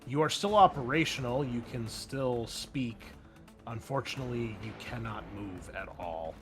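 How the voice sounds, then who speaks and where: A man's voice: medium pitch, moderate pace. A man running a tabletop game as game master, narrating informally with wry asides and casual swearing. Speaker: game master and host of an actual-play show. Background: background music.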